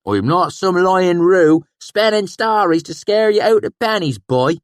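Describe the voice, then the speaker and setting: A man's voice: medium pitch, animated, normal speed, no echo, studio quality, pitched above the performer's own voice, lightly acted. A man doing a blustery old country swineherd. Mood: indignant.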